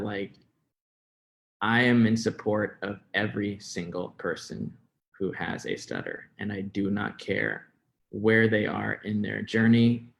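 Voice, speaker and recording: A young American man speaks in a low-pitched voice with noticeable fry. He stutters and hesitates, repeating words. His delivery is informal and candid, as a guest being interviewed. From Florida, United States. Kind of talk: candid personal interview talk.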